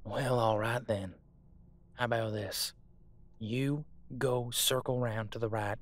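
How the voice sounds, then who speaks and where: A man playing a gruff, scornful veteran monster hunter in an audio roleplay, folksy and bossy. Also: medium pitch, fairly even intonation, slow, lightly acted. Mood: scheming, scornful.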